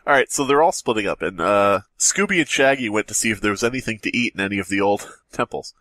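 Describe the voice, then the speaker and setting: Animated, low-voiced man joking around. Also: quick pace, dry recording. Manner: sweary, wisecracking. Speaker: podcast co-host. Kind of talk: casual joking chat and reading aloud.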